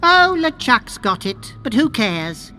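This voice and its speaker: High-voiced, fussy little cartographer. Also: male voice, sing-song, slow pace, sharp tone, faint music behind, heavily acted. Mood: indignant, fussy. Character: tiny, fussy, timid male pirate-island cartographer. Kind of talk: video game voice acting.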